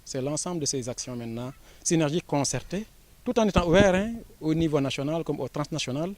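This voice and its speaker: A man with a Senegalese accent, an education official giving a formal, measured interview statement with frequent pauses and fillers. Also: fairly high pitch, lively intonation, a little mellow, dry recording.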